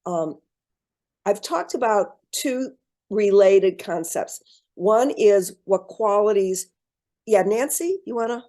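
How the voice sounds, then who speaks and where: A woman leads an online workshop over a video call. Her voice is warm and mellow. She speaks in a measured, encouraging way, pausing on ums and restarting phrases. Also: mid-range voice, animated. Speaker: program presenter.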